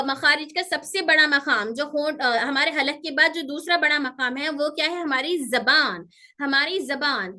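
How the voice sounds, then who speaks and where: A woman's voice: sits high, pushed and bright. A woman teaching a pronunciation class, explaining step by step, repeating key terms and answering her own questions, with English terms mixed in. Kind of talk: online class lecture.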